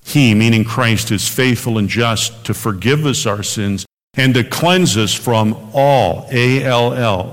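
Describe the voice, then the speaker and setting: A man preaching a radio sermon, earnest, slowing down when he quotes scripture. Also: fairly low pitch, big-room sound. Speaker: pastor.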